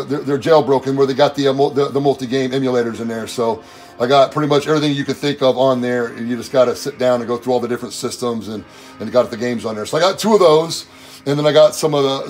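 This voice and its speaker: A man in his forties giving a relaxed home tour vlog of his collection room, filmed on a handheld phone, talking in a loose, rambling way with lots of fillers and restarts. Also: mid-range voice, fast, soft background music. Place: home game and toy room, indoors. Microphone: handheld phone camera.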